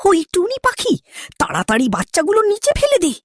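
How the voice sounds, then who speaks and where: A woman voicing a sly, wicked, scheming fox with a raspy voice in a children's cartoon. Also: very high-pitched, wildly swooping pitch, fairly quick, no echo, clean studio sound, exaggerated voice. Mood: menacing. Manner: menacing, scheming, swooping delivery.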